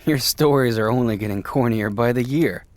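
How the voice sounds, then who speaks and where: A man's voice: mid-range voice, normal speed, dry recording, close to an everyday voice. A man playing an easygoing young friend in an audio roleplay, casual and drily teasing. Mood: dry, teasing. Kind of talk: interactive audio roleplay acting.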